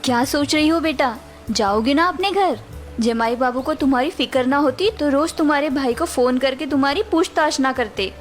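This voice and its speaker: A woman voicing an older village mother who gives calm, patient advice to her daughter. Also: high-pitched, normal speed, soft background music, lightly acted. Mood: gentle advising.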